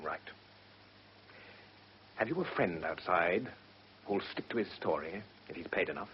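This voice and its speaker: An actor playing a shrewd, controlled defence barrister in a radio drama, with a Received Pronunciation English accent and precise, formal questioning. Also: male voice, deep voice, lively intonation, measured pace, a little hiss or hum. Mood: grim, controlled. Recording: muffled, dull radio drama recording.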